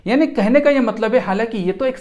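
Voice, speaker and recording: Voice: mid-range voice, fast, a little mellow, highs cut off. An instructor giving an explanatory medical lecture in a didactic way, restating terms and repeating phrases. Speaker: instructor in physiotherapy and Unani regimenal therapy.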